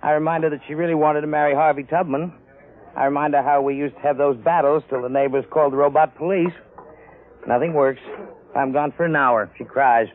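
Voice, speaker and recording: An actor playing a weary, put-upon American husband in 1950s radio style, grumbling wryly. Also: male voice, a little high, fairly even intonation, a little fast, lightly acted. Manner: grumbling, wry. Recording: narrow-band vintage radio recording.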